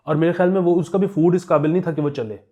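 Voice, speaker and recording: A man's voice: fairly high pitch, fairly even intonation, quick pace, dark tone, lo-fi sound. A man with a Pakistani accent chatting casually as a podcast co-host, telling anecdotes in a joking way and chuckling as he talks. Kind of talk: casual humorous current affairs chat.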